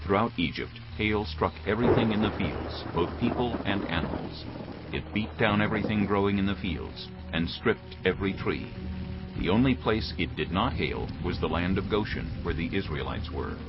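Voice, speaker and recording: Low-voiced male scripture narrator. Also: expressive, normal speed. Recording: phone-like narrow band, prominent music.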